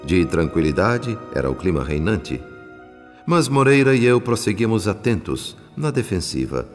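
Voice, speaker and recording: An actor with a Brazilian accent playing a solemn spirit guardian who narrates a radio drama in formal, measured, literary diction. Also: male voice, low-pitched. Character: solemn male spirit guardian recounting a family's troubles. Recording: long reverb, music bed behind. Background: music.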